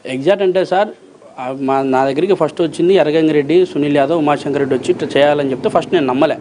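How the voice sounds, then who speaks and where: Fast, insistent man with Rayalaseema Telugu accent. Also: a little high, darkish tone, slight background noise. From Rayalaseema, Andhra Pradesh, India. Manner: emphatic, insistent, repeats phrases and asks rhetorical questions. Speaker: interview guest, approver witness in a criminal case. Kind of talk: interview answers, colloquial account.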